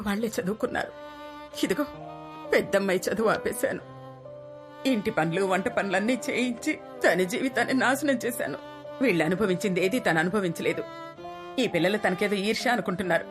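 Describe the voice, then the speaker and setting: A woman's voice: medium pitch, close to an everyday voice. An actress in her fifties playing a self-blaming mother of four who rambles and slides into a tearful confession, in a film drama. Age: 50s. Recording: film score under dialogue.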